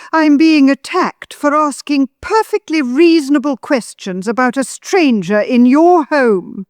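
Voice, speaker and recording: A woman's voice: sits high, no room sound, clean studio sound, full character voice. An actress playing a bitter, manipulative older mother-in-law in an audio drama, theatrical and guilt-tripping. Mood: indignant.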